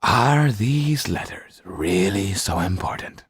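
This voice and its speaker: A man's voice: sits low, lively intonation, slow pace, slightly rough, dry recording, clean studio sound, full character voice. A man voicing an anxious, theatrical, self-pitying theatre owner in a storybook, fretful, exclamatory and mournful. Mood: pathetic.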